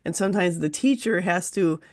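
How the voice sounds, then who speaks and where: A woman with a low-pitched voice hosts a relaxed interview. She speaks earnestly and in long, winding sentences, often passing on messages from her spirit guides. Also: brisk. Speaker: host, teacher and medium. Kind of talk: casual interview chat.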